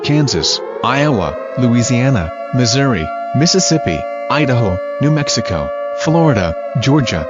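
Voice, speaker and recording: A man reading a scripted emergency broadcast announcement in a formal manner, as an alert announcer. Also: medium pitch. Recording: dull lo-fi audio, loud noise.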